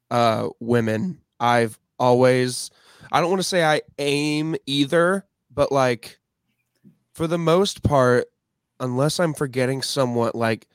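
A man's voice: mid-range voice, no echo. Casual 20s man podcast co-host, hesitant, restarts a lot, dry close sound. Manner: halting, restarts, drawn-out phrasing. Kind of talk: casual chat.

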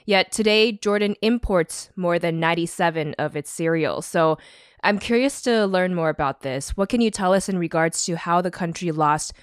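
A woman hosting a podcast, reading a measured introduction and asking clear interview questions. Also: lowish voice, dry recording, studio quality. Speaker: podcast host.

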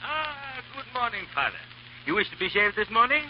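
An actor playing a jovial, bloodthirsty French revolutionary barber in a 1930s radio drama, chatty and gleefully morbid. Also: male voice, high-pitched, very animated, a little fast. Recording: muffled, noisy 1930s radio recording.

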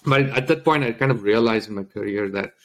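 A man from India, an interview guest, telling his life story in a halting way with many fillers and restarts. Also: fairly low pitch.

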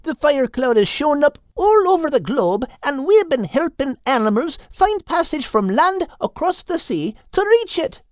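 A woman voicing an earnest small male bird who explains things slowly and patiently. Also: sits high, big pitch swings, no echo, studio quality, pitched above the performer's own voice.

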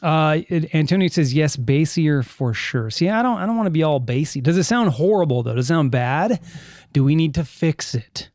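A man's voice: on the high side, expressive, normal speed, a little mellow, dry recording. Chatty man hosting a podcast, dry sound. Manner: rambling, self-correcting, reads chat comments aloud. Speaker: podcast host. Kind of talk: casual live podcast chat. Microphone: studio mic with headphones.

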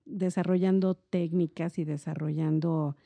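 A woman's voice: low-pitched, fairly even intonation, a little slow, vocal fry, dark tone, no room sound. A middle-aged woman with a Mexican accent, explaining things in a reflective, meandering and informal conversational way. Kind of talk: casual conversational explanation on a podcast.

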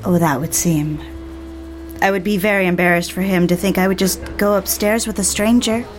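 A woman's voice: mid-range voice, fairly even intonation, moderate pace, faint music behind, lightly acted. An actress playing an anxious, lovelorn woman with wounded pride in a period melodrama, genteel and dramatic. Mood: flustered, polite. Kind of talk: period melodrama acting with first-person narration.

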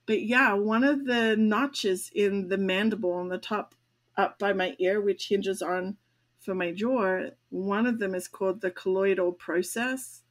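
A woman's voice: medium pitch, steady tone, unhurried. An older woman, a grandmother and podcast co-host, telling a long personal story in casual chat, laughing often as she talks. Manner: chatty, laughs often while telling stories.

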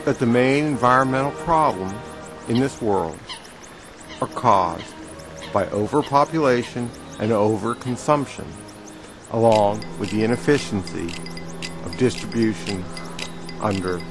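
A man playing an earnest advocate who lectures in a radio play, stressing his points with pauses and repetition. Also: medium pitch, over music, natural voice. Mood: solemn, declarative. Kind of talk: scripted radio play monologue, satirical lecture.

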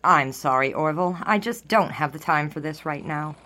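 An American woman playing a brisk, unimpressed 1920s newspaper office worker with a dry, sarcastic manner on the telephone. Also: deep voice, sharp tone. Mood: cool. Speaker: actress in an audio drama.

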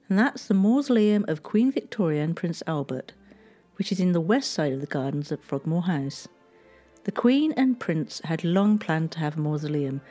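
A woman giving scripted history narration in a measured, gently storytelling way. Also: on the low side.

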